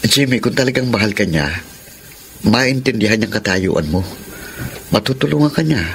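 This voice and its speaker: An actor playing an earnest, principled friend who speaks frankly in a Filipino romantic radio drama. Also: male voice, on the low side, animated, normal speed, creaky, slight background noise. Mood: earnest, reproachful.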